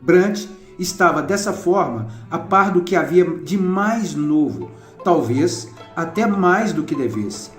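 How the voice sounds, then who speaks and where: Male documentary narrator, higher voice, measured delivery, roomy echo, faint music behind. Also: expressive. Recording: echoey voice-over with music behind. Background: soft instrumental music.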